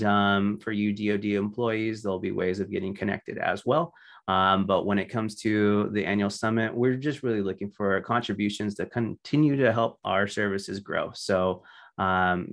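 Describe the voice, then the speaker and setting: A man's voice: on the low side, vocal fry. A man hosting a virtual meeting, making announcements in a conversational way with many fillers, heard over a video call. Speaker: meeting host.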